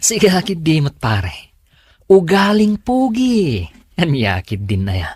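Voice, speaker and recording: A man's voice: fairly high pitch, very animated, takes it slowly, darkish tone, slightly rough, dry recording, exaggerated voice. An actor playing a cocky, defensive young womanizing boyfriend in a Filipino radio drama, with a Filipino accent. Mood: smug. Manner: defensive, exasperated, self-satisfied. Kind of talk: Philippine radio drama acting.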